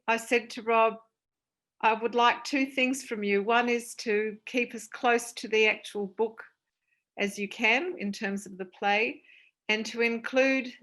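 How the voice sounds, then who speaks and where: A woman's voice: on the high side, a bit bright. An older woman from Australia, answering questions as a guest author in an online panel.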